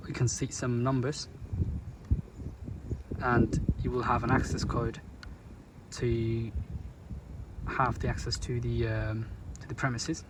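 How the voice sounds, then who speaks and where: A man presenting an installation as a product walkthrough, speaking deliberately in short, halting phrases. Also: mid-range voice, very noisy. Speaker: gate installer presenting his work.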